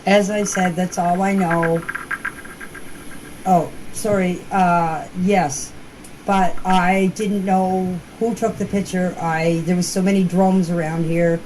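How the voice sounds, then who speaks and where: A woman's voice: on the low side, fairly even intonation, slow, darkish tone. An older woman informally recounting events in a hesitant, repetitive way, as if giving her own account in voice messages. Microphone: phone voice messages. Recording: noisy amateur voice message recording.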